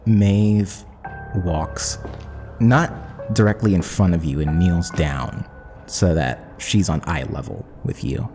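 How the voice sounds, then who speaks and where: A male game master narrates a fantasy scene. His voice is very low and mellow, with long reverb and music underneath. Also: takes it slowly.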